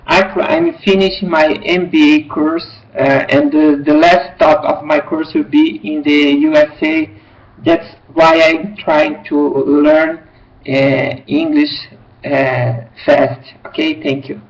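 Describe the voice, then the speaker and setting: Slow, halting Brazilian man. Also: a little high, lo-fi sound. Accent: Brazilian Portuguese. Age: 40s. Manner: halting, many fillers. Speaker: student. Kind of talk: hesitant classroom English.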